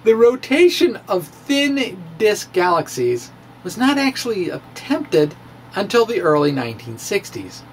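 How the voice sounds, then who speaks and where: Middle-aged male science presenter, dramatic reader with very animated pitch, unhurried pacing and pointed pauses. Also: slight background noise, poor audio. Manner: theatrical, drawn-out emphasis with pauses. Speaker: science blogger giving a dramatic reading. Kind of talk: theatrical reading of a popular science blog. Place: small room with bookshelves. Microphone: handheld-style microphone on a stand, close in front.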